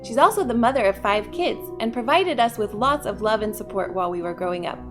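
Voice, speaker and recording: A woman presenter reads a prepared script in a steady, even manner. Her voice is a touch high, and clear music plays underneath her. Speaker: library staff presenter. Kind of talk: scripted narration.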